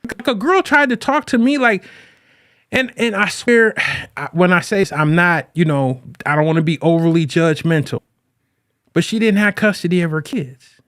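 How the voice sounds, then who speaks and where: A man hosting his own podcast as a relationship coach, talking in a casual, animated way. His voice sits a little high, and he keeps restarting and repeating his phrases. The sound is dry and close, with no room around it. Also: normal speed, no room sound. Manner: animated, quotes people in raised voices. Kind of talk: casual monologue.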